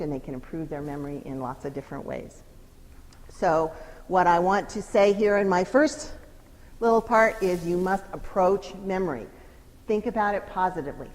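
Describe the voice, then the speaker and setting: Lively woman lecturing in a hall. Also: lowish voice. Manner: lively, emphatic, conversational teaching. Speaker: psychology professor giving a talk. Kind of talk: lecture presentation. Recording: long hall reverb, faint noise.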